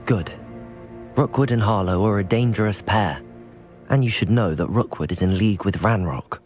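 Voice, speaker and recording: An actor playing a polite, plucky teenage schoolboy wizard in a video game. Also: male voice, fairly low pitch, normal speed, darkish tone, faint music behind, lightly acted. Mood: earnest. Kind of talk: video game character acting.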